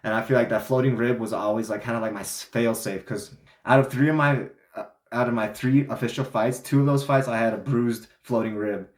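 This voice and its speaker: A man's voice: medium pitch, fairly even intonation, a little fast, reverberant. A young man telling a story in a casual, rambling way, full of fillers and restarts, sounding congested. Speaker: vlogger.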